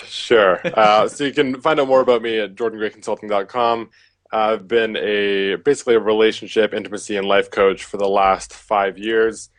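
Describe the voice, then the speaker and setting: A man with a lowish voice talks in a relaxed, conversational way, sprinkling in fillers as he answers interview questions as a coach. The sound is dry and close, with no room around it. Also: normal speed, no room sound. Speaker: relationship coach, guest.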